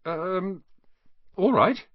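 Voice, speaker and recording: A man voicing a chatty, blunt, easygoing schoolboy in a casual, animated way for an audiobook. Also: high voice, very slow, dry recording, dull audio, pitched above the performer's own voice, heavily acted. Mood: unsure.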